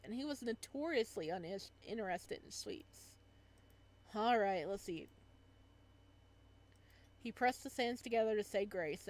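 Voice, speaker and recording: Higher-voiced woman reading a visual novel aloud. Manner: stumbles over words while reading. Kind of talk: casual let's play, reading aloud with side comments.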